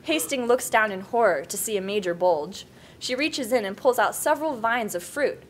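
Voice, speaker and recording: A woman narrates a screenplay at a table read, giving scene headings and action. Her voice is fairly high and very animated, with faint noise behind it. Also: moderate pace. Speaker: stage directions narrator.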